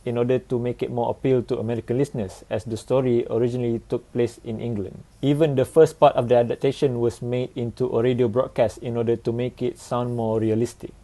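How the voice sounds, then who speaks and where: A young man delivers a student presentation in an explanatory style. His voice is soft-edged and mellow, with a mid-range male pitch. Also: steady tone, a little fast, hardly any pauses, low-quality recording. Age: 20s.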